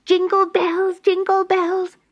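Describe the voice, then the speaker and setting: High, melodramatic little pine tree. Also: female voice, completely flat delivery, slow pace, no echo, lo-fi sound. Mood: joyful. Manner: wailing, theatrical delivery. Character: young female pine tree, dramatic, plaintive, easily delighted.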